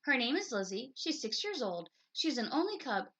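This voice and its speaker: A woman's voice: high-pitched, big pitch swings, moderate pace, a bit bright, husky, heavily acted. A woman voicing an eager, snappy six-year-old girl bear cub in a picture book. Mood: chatty.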